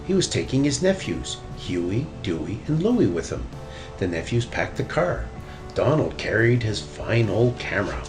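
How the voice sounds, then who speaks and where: A man's voice: lowish voice, sing-song, moderate pace. A man narrating a children's picture book as a storybook reader. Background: music.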